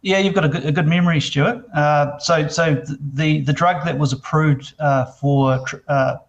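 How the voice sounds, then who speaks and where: A man with a New Zealand accent, a company CEO answering interview questions, halting with many fillers and restarts. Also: a little high, steady tone, highs cut off.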